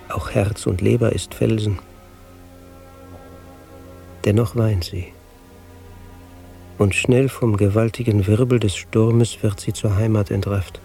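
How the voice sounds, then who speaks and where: A man narrating in a radio play, reciting classical verse in a solemn, measured, declamatory manner. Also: fairly low pitch, creaky, warm tone.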